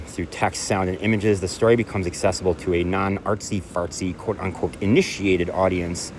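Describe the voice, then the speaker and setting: A man, a composer and performance artist, reading a festival application aloud in an earnest, measured way, now and then correcting himself. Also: low voice, a little hiss or hum.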